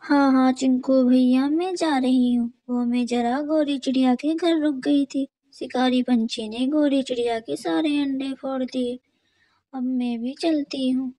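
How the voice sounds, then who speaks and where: A woman voicing a kind young female sparrow who talks in a lively, concerned way. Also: high voice, a little slow, rough recording. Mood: hurried.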